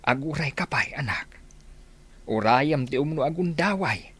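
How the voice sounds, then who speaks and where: Casting: male actor as concerned village dad for audio drama, husky, animated, gently moralizing. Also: on the high side, measured pace, dry recording, full character voice. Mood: cautioning. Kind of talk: Ilocano radio-style drama.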